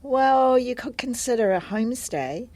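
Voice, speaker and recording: A woman acting a helpful, friendly adviser in a scripted listening-test dialogue, speaking in a measured, explanatory way. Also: a little high, lively intonation, a little mellow, no room sound. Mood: helpful, calm.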